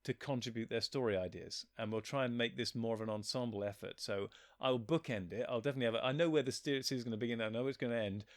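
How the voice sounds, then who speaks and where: A man with a medium-pitched voice talks casually as an interview guest. He sounds like a writer in relaxed conversation, recorded dry with very little echo. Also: fast talker, hardly any pauses. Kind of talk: casual chat.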